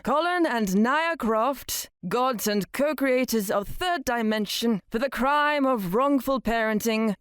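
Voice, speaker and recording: A woman's voice: a little high, clean tone, no echo, studio quality. A woman playing a stern, impatient angel judge in an audio drama, making formal, commanding pronouncements. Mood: solemn, commanding.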